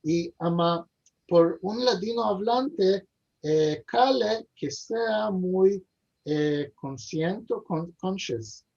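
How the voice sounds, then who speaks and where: A man being interviewed over a video call, speaking haltingly with many pauses, fillers and repeated words. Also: high voice, animated, gravelly, darkish tone. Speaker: interview guest. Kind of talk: hesitant conversational interview. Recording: dull lo-fi video call audio.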